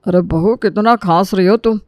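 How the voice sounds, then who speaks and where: A man's voice: high voice, normal speed, dry recording, clean studio sound, close to an everyday voice. Caring middle-aged father-in-law played by a man. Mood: concerned. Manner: caring, soothing. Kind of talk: Hindi animated moral story acting.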